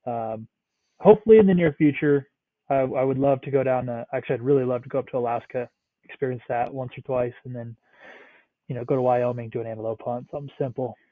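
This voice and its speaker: A man's voice: mid-range voice, fast, dry recording. A man of about thirty from the Northeastern United States, chatting casually as a podcast guest, rambling with lots of "like" and some swearing. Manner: rambling, many "like" fillers, swears freely. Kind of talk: casual interview chat. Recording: tinny phone-like remote line, dry.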